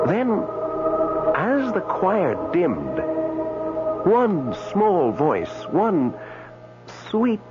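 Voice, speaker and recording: A man's voice: on the high side, full character voice. An indignant dead man, wildly swooping voice. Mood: brooding, angry. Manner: hesitant, stammering, pleading, dramatic pauses. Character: indignant, newly dead man stranded in limbo. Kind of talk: radio drama acting with first-person narration. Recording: dull radio broadcast, faint mains hum.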